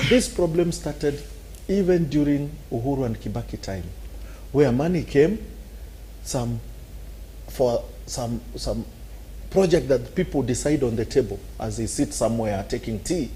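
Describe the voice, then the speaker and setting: A man's voice: medium pitch, expressive, measured pace, mellow, slight background noise, rough recording. A man with a Kenyan accent, arguing animatedly on a talk show and acting out scenes with sound effects. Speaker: political commentator.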